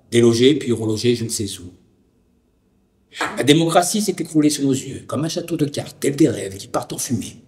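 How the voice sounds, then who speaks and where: A man from the Lyon area of France narrating a scripted personal documentary with deliberate, poetic phrasing. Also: on the high side, a little mellow.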